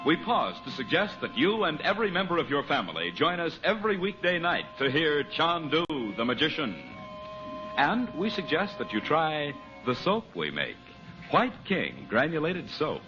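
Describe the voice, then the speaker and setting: American man, polished announcer, emphatic sales delivery, animated, old radio commercial, muffled recording. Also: on the high side, moderate pace. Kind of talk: 1930s-40s radio commercial reading. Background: music.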